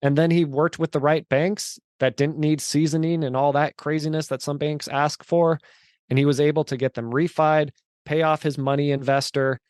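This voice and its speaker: A man's voice: on the high side, steady tone, normal speed, darkish tone, dry recording, clean studio sound. A man of forty, a guest in an interview, telling his story in an earnest, emphatic way. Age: 40s. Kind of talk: casual interview chat.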